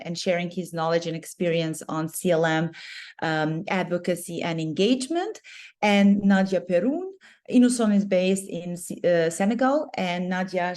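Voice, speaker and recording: A woman with a Serbian accent giving a webinar presentation over a video call, unhurried and often pausing mid-sentence to find her words. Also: lowish voice, a little mellow.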